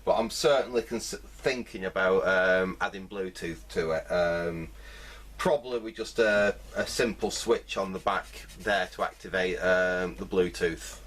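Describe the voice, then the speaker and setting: A man's voice: low voice, unhurried, fairly bright tone. A man giving casual, hesitant, rambling hands-on commentary as a repair video host. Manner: rambling, hesitant, thinking aloud.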